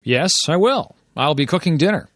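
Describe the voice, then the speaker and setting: A man's voice: on the high side, animated, moderate pace, no echo, studio quality. A man playing an easygoing, reassuring friend answering a call in scripted lesson dialogue for language learners.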